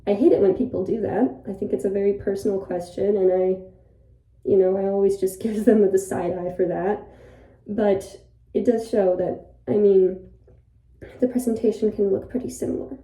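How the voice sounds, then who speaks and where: A woman's voice: medium pitch, steady tone, normal speed. A young woman from Ireland whose first language is Polish, talking in a reflective, rambling way in a personal vlog. She is filming in a bathroom. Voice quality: creaky. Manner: reflective, rambling, soft-spoken. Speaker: vlogger. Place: bathroom, sitting by the bathtub. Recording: echoey bathroom, distant from the mic.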